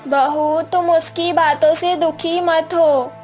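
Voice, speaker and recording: An elderly mother-in-law from a cartoon moral story, put on by a woman. She is protective and kindly, speaking slowly and with measured care in a high voice. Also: fairly even intonation, darkish tone, soft background music. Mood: consoling. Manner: measured, admonishing, consoling.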